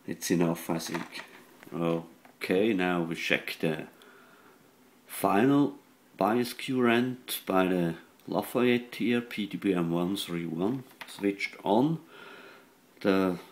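A man who is not a native English speaker, giving a halting, matter-of-fact hands-on technical demonstration at a workbench. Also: deep voice. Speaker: radio repair hobbyist. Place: indoors at an electronics workbench.

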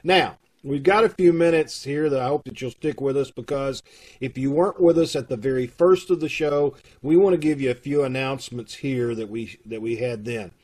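A man's voice: mid-range voice, normal speed, darkish tone, breathy edge. A middle-aged man giving casual, explanatory announcements to an online audience over a video call. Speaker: troupe announcer. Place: room at home, indoors.

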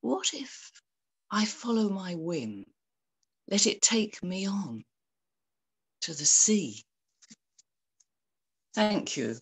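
A woman poet reading her own poem aloud with deliberate, expressive phrasing. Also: medium pitch, slightly rough. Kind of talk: poetry reading.

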